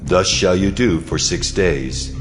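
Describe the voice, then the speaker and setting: Deep, reverberant voice of God. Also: male voice, takes it slowly. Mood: majestic, commanding. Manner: majestic, authoritative. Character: God, solemn almighty male divine being giving orders. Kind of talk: dramatized audio Bible acting. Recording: long hall reverb, music behind.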